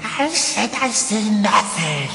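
Tiny, craven, wicked creature: whiny captive servant, animated line readings, echoing cavern, fantasy audio drama. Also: female voice, mid-range voice, slow pace, creaky, exaggerated voice. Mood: sullen, mocking. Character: small wicked spite creature, sullen, cowardly, servile captive. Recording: reverberant cavern sound with music.

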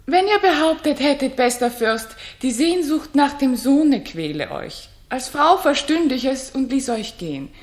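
Witty, gracious queen, high roomy voice. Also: very animated, unhurried, few pauses. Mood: persuasive. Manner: playful, eloquent, courtly persuasion. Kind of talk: 1960s German radio drama, verse declamation. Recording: roomy 1960s radio studio.

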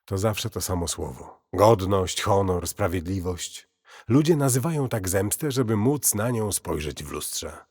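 A man narrating a scripted first-person horror story in a grave, deliberate, brooding manner. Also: sits low, expressive, darkish tone, husky. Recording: cavernous long reverb.

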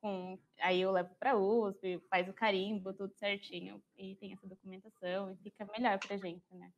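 A woman's voice: mid-range voice, normal speed, creaky, lo-fi sound, slight background noise. Chatty young Brazilian woman student. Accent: Brazilian Portuguese. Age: young adult. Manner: relaxed, chatty, uses fillers like tipo. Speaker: university student. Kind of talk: casual panel chat. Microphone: video call.

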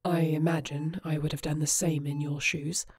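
A woman playing a composed, caring and quietly grieving authority figure in a sci-fi audio drama, speaking in a measured, formal way. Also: low-pitched, little pitch movement, slightly rough. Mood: quiet, wistful. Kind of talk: sci-fi audio roleplay acting.

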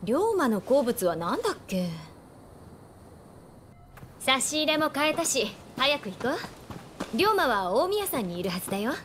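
A woman's voice: high-pitched, big pitch swings, measured pace, pauses often, fairly bright tone, a little hiss or hum, exaggerated voice. A woman playing a spirited, caring young woman of old Japan in a video game, with a familiar, plain-spoken feminine manner. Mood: friendly, concerned. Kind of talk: video game cutscene acting.

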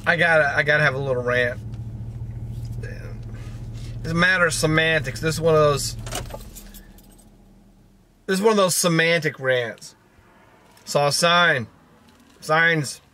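A man's voice: fairly high pitch, measured pace, long pauses, sharp tone, a little hiss or hum. A man in his fifties chatting casually to a vlog in a rambling, wry, self-deprecating way, recorded in a car. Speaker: vlogger. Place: inside a parked or moving car.

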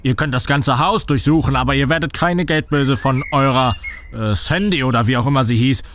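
A man's voice: mid-range voice, brisk, a bit bright, a little hiss or hum, lightly acted. A man playing a creepy old reporter. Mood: ominous. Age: older. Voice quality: theatrical, ominous, melodramatic. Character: shady older male reporter, creepy and theatrical. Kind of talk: comic radio play.

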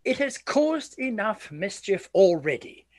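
A weary old sergeant major voiced by an actor in a radio play, guarded and ominous. The phrasing is courtly and old-fashioned, the pitch moves widely, and the sound is dry and close. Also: sing-song, a little slow, dry recording. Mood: grim, haunted.

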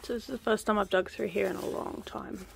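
A woman's voice: medium pitch, fairly quick, creaky, a little hiss or hum. A young woman chatting casually through a backyard gardening vlog, rambling with lots of ums, filmed on a handheld phone outdoors. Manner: relaxed, rambling, many ums, drops to a whisper up close. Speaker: vlogger. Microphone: phone held close.

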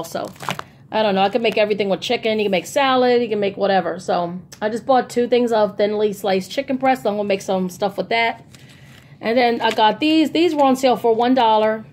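Casting: female haul vlogger, mid-pitched voice, informal, rambling, chatty delivery. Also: darkish tone. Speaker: vlogger showing shopping hauls. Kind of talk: casual haul vlog chat.

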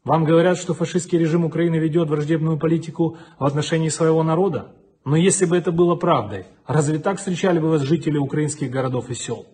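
A man's voice: a little high, fast talker, a little mellow, breathy edge. A middle-aged man, a city mayor, giving a stern and defiant video address from an indoor office with flags behind him, close to a webcam. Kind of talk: video address to enemy soldiers.

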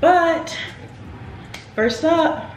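A woman's voice: very high voice, extremely slow. Chatty young woman in an echoey kitchen. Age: young adult. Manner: chatty, playful, talks straight to viewers. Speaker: vlogger. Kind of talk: casual vlog chat to camera. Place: home kitchen, indoors. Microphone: camera on the counter. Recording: echoey kitchen with household noise.

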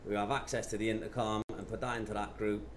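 A man, talking matter-of-factly through steps in an informal software tutorial. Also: fairly low pitch, flat delivery, fast. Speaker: presenter of product tutorials. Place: indoor office. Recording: clear background noise.

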